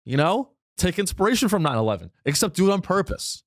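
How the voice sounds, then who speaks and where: A man's voice: big pitch swings, fast talker, no room sound, studio quality. A man hosting his own podcast in a rambling, irreverent comedic monologue, swearing freely, his voice wearing thin as he loses it. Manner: rambling, irreverent, swearing, with sudden high pitch jumps. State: losing his voice. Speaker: podcast host.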